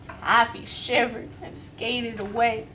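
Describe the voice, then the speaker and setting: A woman's voice: fairly high pitch, level tone, slow pace, pushed and bright, a little hiss or hum, poor audio. A woman reading a children's story aloud expressively, inviting young listeners to join in. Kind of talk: animated children's read-aloud.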